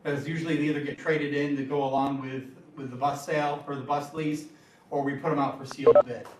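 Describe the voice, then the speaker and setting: A man answering questions at a school board meeting, casual and halting. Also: fairly high pitch, level tone. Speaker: school superintendent. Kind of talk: explaining at a meeting. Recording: distant echoey room mic, faint noise.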